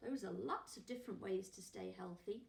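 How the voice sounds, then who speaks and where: A woman's voice: medium pitch, brisk, vocal fry, darkish tone. A woman, a primary teacher reading a picture book to young children, asking them questions expressively. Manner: asks listeners questions, gasps and whispers expressively. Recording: roomy echo, distant microphone.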